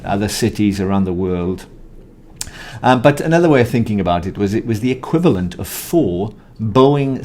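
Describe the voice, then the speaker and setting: Low-voiced man telling a story. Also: darkish tone, a little hiss or hum. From Wales. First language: Welsh. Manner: storytelling with playful asides. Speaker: podcast co-host. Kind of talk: conversational podcast chat.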